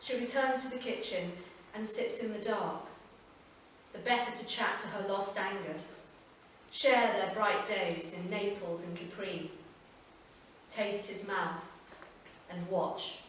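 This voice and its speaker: A woman reads a literary story aloud at a live festival reading. She is captured from a distance, so her voice sits back in a very echoey room with a faint hum of ambience behind her. Her reading is measured and unhurried, and her lines settle softly at the ends. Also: mid-range voice. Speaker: reader.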